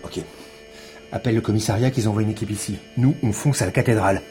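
An actor playing a tense, no-nonsense police detective in naturalistic audio fiction, terse and commanding. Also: male voice, lowish voice, steady tone, fast talker, a little mellow, close to an everyday voice. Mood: businesslike. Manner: terse, commanding, casual swearing. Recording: roomy echo, music behind.

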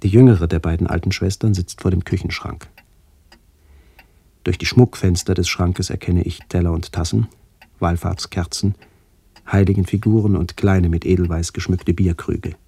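A man playing a somber first-person narrator in a literary radio play, speaking in a measured, descriptive way. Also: deep voice, steady tone, creaky, warm tone, breathy edge, dry recording, full character voice. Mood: somber, contemplative. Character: somber male first-person narrator remembering his aged aunts.

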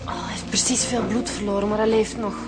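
An actress playing an alert, caring police detective in a radio drama, speaking directly and with concern in a Flemish accent. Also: female voice, mid-range voice, little pitch movement, brisk, over loud music, lightly acted. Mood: concerned, urgent.

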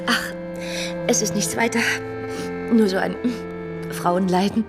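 An actress playing a proud, anxious gentlewoman, polite yet easily wounded, in a radio drama. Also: mid-range voice, steady tone, slow pace, music in the background. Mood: hurt.

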